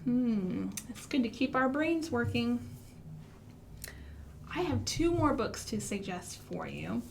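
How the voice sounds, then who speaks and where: An American woman hosting an interactive storytime for young children, asking questions and speaking in an expressive, encouraging way. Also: on the high side, takes it slowly, gravelly, slight background noise. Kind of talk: interactive children's storytime with songs and finger rhymes. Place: live online stream for a library.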